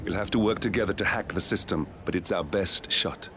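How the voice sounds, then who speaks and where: An actor playing an intense, embittered covert mission handler, briefing in a focused, confiding way. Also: male voice, low voice, fairly quick, a bit bright, slight background noise. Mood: determined. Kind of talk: mission briefing over comms. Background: game music.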